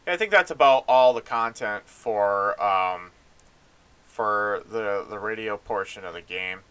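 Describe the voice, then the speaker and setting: A man's voice: lowish voice, unhurried, fairly bright tone, smooth. A man giving casual gameplay commentary as a video creator.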